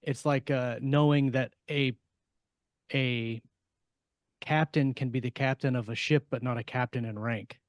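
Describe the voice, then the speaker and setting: A man's voice: mid-range voice, fairly even intonation, a little slow, no room sound. Man in casual podcast discussion, dry close sound. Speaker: podcast co-host.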